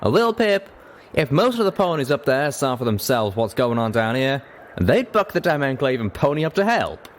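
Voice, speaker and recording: A man's voice: medium pitch, very animated, moderate pace, slight background noise, pitched above the performer's own voice, natural voice. A man voicing an earnest, folksy pegasus cowboy pony in a storybook reading. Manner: drawling, folksy.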